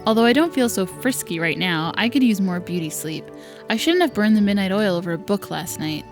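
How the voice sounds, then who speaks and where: A woman's voice: mid-range voice, expressive, a little fast, music in the background, lightly acted. A woman voicing a young servant stepdaughter, wry and resentful but patient, reading visual novel lines aloud. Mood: content.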